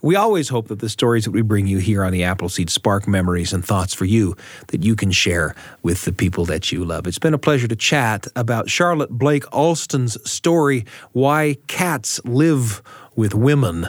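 A man's voice: fairly low pitch, animated, moderate pace, dry recording. A man hosting a radio show, telling personal stories and chatting in a studio. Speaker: radio show host and storyteller. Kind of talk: personal storytelling and studio chat.

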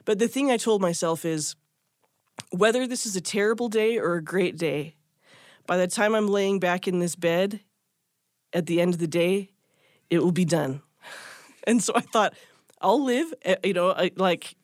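A woman's voice: fairly low pitch, moderate pace, pauses often, no room sound, studio quality. A woman recounting her own experience in a candid, self-deprecating way, with plenty of likes and you-knows. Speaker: guitarist interviewee. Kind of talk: casual recounting.

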